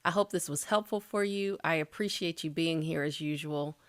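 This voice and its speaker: A woman's voice: deep voice, moderate pace, no room sound, studio quality. A middle-aged woman giving YouTube tips in a casual, chatty way, with light laughs and loose restarts. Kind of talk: casual spoken tutorial.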